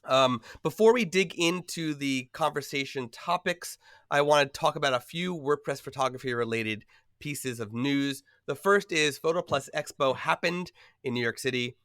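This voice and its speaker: A man's voice: medium pitch, fairly bright tone, dry recording, clean studio sound. A man hosting a podcast, sounding a bit nasal from a cold, chatting casually with lots of fillers and easy laughs. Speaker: podcast host.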